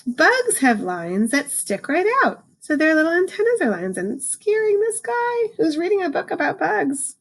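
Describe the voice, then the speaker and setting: A woman reading a picture book aloud to children, adding informal explanations and slowing down for the book's lines. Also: high-pitched, huge pitch swings. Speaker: read-aloud host, teacher for children.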